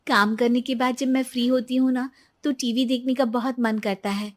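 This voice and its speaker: Woman acting a high, polite young daughter-in-law. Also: steady tone, normal speed, close to an everyday voice. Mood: apologetic. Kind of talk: cartoon moral story acting.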